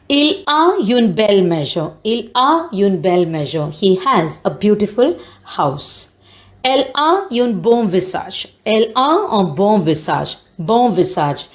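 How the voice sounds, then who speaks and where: This is a woman with a mid-range voice. She speaks as a language teacher giving an explanatory lesson. Her delivery is didactic, and she goes back over phrases and key points to drive them home. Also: medium pitch.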